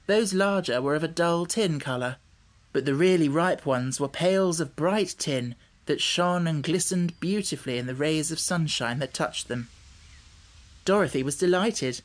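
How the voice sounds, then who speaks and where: A man reading scripted storybook narration as an audiobook narrator, measured and carefully articulated. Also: a little high.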